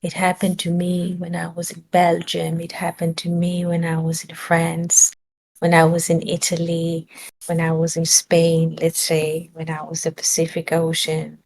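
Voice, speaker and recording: A woman's voice: fairly low pitch, monotone, a little slow, gravelly, darkish tone, low-quality recording. Woman giving a searching spiritual talk. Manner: searching for words, rhetorical questions. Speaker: spiritual teacher.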